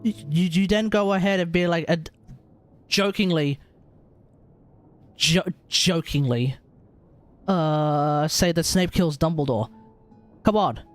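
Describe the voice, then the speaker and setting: Higher-pitched man live-streaming a game. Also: slow, pauses often. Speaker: game livestreamer. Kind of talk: casual live stream commentary. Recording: game music faintly behind.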